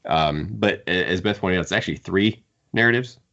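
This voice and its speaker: A man's voice: low voice, fairly quick, gravelly, fairly bright tone. A man co-hosting a podcast, discussing books casually in an opinionated, wry way. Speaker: podcast co-host. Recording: dull, band-limited audio.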